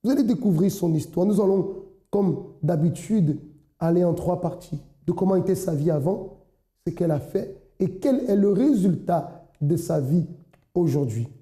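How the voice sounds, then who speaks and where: Male host, measured and exhorting, very mellow tone, echoey studio sound. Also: high voice, expressive. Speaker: TV program host. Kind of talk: religious TV presenting.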